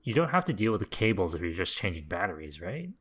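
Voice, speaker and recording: A man's voice: low voice, very animated, quick pace, a bit bright, slight background noise. A man co-hosting a gaming podcast, teasing and joking casually with his friends. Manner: teasing, jokey. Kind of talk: casual banter among friends.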